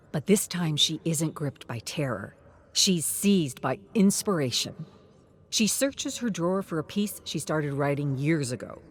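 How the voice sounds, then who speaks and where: A woman reads a scripted story as narrator, with theatrical, deliberate delivery and emphatic short phrases. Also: lowish voice, slight background noise.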